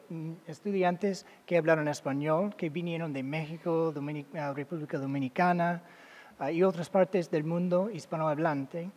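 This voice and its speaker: A man with as his giving an academic talk as a guest lecturer, halting, with many fillers and self-corrections. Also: high-pitched, warm tone. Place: lecture hall. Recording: long reverb of a large hall.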